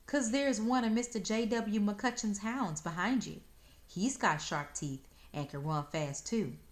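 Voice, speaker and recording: A woman's voice: medium pitch, a little slow, pitched above the performer's own voice, lightly acted. Woman as a sassy, unimpressed little country girl. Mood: smug. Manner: matter-of-fact teasing in rural dialect.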